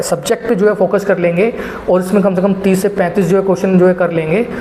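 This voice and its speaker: A young man with a fairly high voice talks students through an exam strategy in a loose, rambling way, leaning on filler phrases. He sounds like he is in an echoey room, with a faint hum of ambience behind him. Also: a little mellow. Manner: rambling, heavy filler phrases, addresses viewers as guys. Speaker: exam prep teacher. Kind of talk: casual spoken exam advice.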